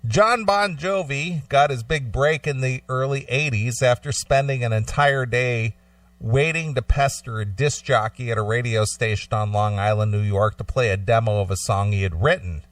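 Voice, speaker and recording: A man's voice: mid-range voice, normal speed, no echo. A man hosting a casual talk show in a blunt, conversational way, at times reading an article aloud. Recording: slightly distant microphone, dry sound.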